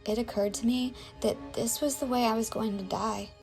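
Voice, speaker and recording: Creaky-voiced, troubled young woman narrating. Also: a little high, steady tone, normal speed, darkish tone, faint music behind. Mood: sombre, reflective. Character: earnest, troubled young woman recounting her past. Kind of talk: dramatic film voice-over and dialogue.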